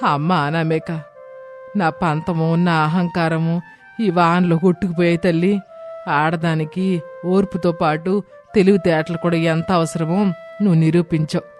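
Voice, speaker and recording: A man's voice: fairly high pitch, flat delivery, unhurried, pauses often, soft background music, close to an everyday voice. A man voicing an affectionate, humbled older mother-in-law, speaking with heartfelt emotion in a moral story. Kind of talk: dramatised Telugu moral story.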